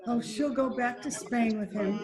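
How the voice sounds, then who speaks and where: A woman's voice: fairly high pitch, moderate pace, lightly acted. A woman playing a humble, grateful, sentimental mother in an amateur old-time radio play. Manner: earnest, sentimental. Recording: crowd chatter behind, online call recording.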